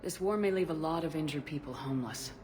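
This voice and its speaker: Faint noise sits behind an actress playing a female military commander in a game. Her voice is low to middling for a woman, composed and direct, carrying quiet authority. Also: fast, natural voice. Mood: composed, inquiring. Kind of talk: game dialogue.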